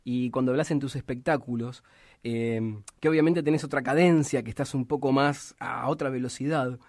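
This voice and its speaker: A middle-aged man with an Argentine accent hosting a radio interview in a small studio, talking at an unhurried pace with frequent restarts. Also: mid-range voice, steady tone, a little mellow, no room sound. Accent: Argentine Spanish. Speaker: radio host. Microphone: studio mic with headphones.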